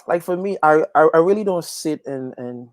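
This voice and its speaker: A man's voice: fairly high pitch, animated, moderate pace, dry recording. Man chatting, close dry sound. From Guyana. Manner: emphatic, repeats phrases as he builds a point. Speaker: interview guest, gospel reggae artist. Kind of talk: casual interview chat.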